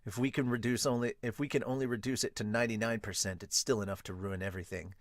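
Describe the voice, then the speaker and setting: A cool young sports strategist voiced by a man for a manga dub, pitched down low. He speaks quietly and with measured, calculating menace, in a dry sound. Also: fairly even intonation, no echo, natural voice.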